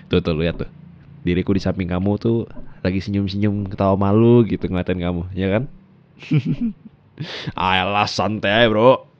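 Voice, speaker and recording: A man's voice: low-pitched, steady tone, measured pace, long pauses, muffled recording. A man playing a tender young boyfriend speaking in a taped message for an ASMR roleplay, heartfelt and teasing. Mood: playful. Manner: soft, heartfelt, teasing with laughs.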